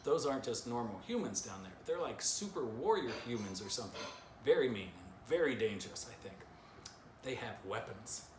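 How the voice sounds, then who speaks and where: A man's voice: mid-range voice, big pitch swings, moderate pace, natural voice. An anxious, alarmist female dog from a children's storybook, acted out by a man, with dramatic urgency. The recording is roomy and echoing, with noise behind it. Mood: panicked. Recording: roomy echo, clear background noise.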